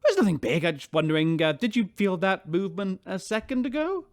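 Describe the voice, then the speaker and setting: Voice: mid-range voice, very animated, a little fast. An actor playing a flustered, nervous ship captain who hesitates and stammers.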